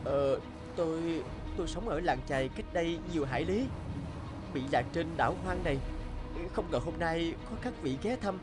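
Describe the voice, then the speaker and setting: An earnest young castaway fisherman in a cartoon fairy tale, acted by a man. His voice sits on the high side and he exclaims expressively, easily amazed. Music plays clearly behind him. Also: normal speed, lightly acted. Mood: earnest, gentle.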